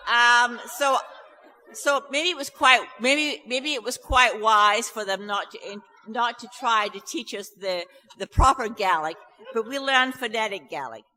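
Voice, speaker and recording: A woman's voice: a little high, unhurried, pushed and bright. A Scottish woman chatting to a live audience before she sings, nervous and self-deprecating, with many restarts. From Scotland. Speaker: festival singer introducing a song. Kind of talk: chatty stage talk to an audience. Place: church hall. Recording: live recording in a reverberant hall.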